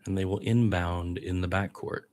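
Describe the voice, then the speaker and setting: A man's voice: sits low, steady tone, moderate pace, a little mellow. A man doing live radio-style basketball play-by-play as a partisan fan, with exasperated asides about his team.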